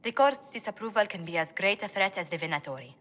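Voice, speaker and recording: An actress voicing a poised, formal court diplomat in a fantasy game, speaking in a measured way. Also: female voice, medium pitch, lively intonation, fairly bright tone. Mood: grave. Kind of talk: video game dialogue acting.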